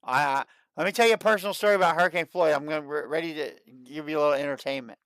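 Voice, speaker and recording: A man in his late thirties hosting a livestream, chatting casually and excitedly, and often repeating himself. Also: on the high side, racing, smooth, no echo. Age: 30s. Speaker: livestream host. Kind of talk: casual chat.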